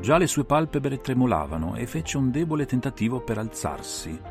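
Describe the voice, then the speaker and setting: A man's voice: mid-range voice, very animated, moderate pace. A man narrating an audiobook in a dramatic, theatrical and suspenseful style. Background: faint music and sound design.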